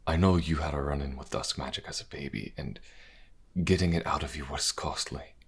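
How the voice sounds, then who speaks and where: Man acting a very deep, husky bishop. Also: fairly quick, full character voice. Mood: worried. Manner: tender, teasing. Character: male bishop, caring, playful, protective lover. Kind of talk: fantasy audio drama acting.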